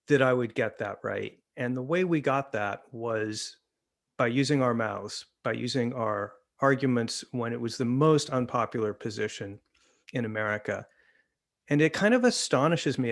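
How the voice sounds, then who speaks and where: A man in his sixties on a discussion panel, with noticeable vocal fry and a medium pitch. He argues from personal experience, earnest and emphatic. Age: 60s.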